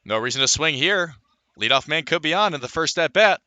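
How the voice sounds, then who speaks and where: A man calling live baseball play-by-play as a radio announcer, filling the gaps between pitches with measured runs of stats. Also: animated, sharp tone, no room sound. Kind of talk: live sports play-by-play commentary.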